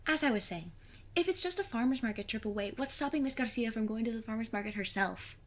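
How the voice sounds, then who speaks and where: Lively, earnest, plucky teenage girl. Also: a little high, fast talker. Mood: matter-of-fact. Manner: earnest, literal, matter-of-fact. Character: plucky, earnest fifteen-year-old girl, would-be witch's apprentice. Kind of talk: audio drama acting.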